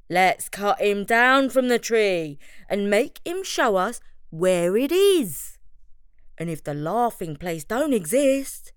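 A woman's voice: fairly high pitch, clear, resonant tone, no room sound, studio quality, voice pushed up. A woman voicing a big, stubborn, greedy male bear in a children's story, folksy, blunt and bossy.

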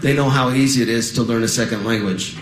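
A man's voice: medium pitch, steady tone, fast talker, roomy sound, slight background noise, poor audio. A man doing a stand-up comedy routine, telling a wry story built around punchlines.

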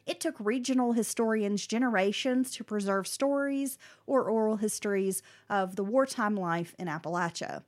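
A woman co-hosting a podcast, reading a prepared history story with casual, slangy asides. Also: mid-range voice. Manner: animated, drops into slangy asides. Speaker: podcast co-host telling the story.

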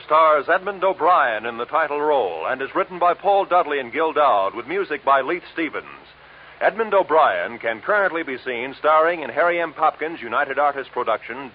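Lively, high-pitched American radio announcer man. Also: a little hiss or hum. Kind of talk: 1940s radio announcing, scripted. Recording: thin, phone-like old radio recording.

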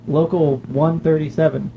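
A man with a Midwestern American accent co-hosting a casual comedy podcast, joking with deadpan asides. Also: a little high, moderate pace, vocal fry, phone-quality sound, a little hiss or hum. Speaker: podcast co-host.

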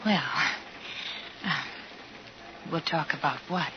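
A woman's voice: low-pitched, takes it slowly. Gushing, prim young radio-drama woman, muffled. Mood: nervous, demure. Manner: gushing, flustered, old-fashioned exclamations. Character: chatty, prim, homespun young woman train passenger. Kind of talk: 1950s radio drama acting. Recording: muffled, noisy 1950s radio recording.

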